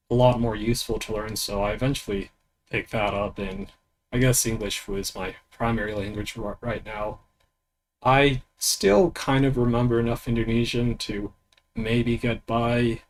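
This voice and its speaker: A young man streaming a casual self-introduction as a VTuber, rambling and halting with fillers, laid-back and swearing now and then. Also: fairly low pitch, a little hiss or hum, rough recording.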